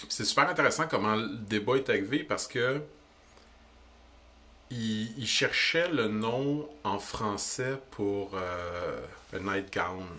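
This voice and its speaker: A young man with a Québécois French accent, ranting indignantly into the camera about politics in quick, emphatic bursts. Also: lowish voice, pushed and bright. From Quebec. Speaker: vlogger. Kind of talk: heated political rant.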